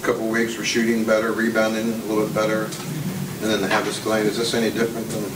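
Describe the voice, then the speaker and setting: A man with a flat, fairly low voice puts a rambling, hesitant question to a coach. He sounds distant in an echoey press room with noticeable background noise. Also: quick pace. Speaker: reporter.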